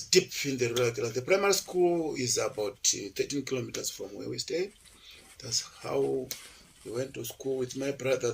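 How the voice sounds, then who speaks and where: A man's voice: medium pitch, gravelly, a little mellow, a little hiss or hum. A man from Zimbabwe, a university lecturer being interviewed, telling his life story in a chatty, digressive way with many fillers and restarts.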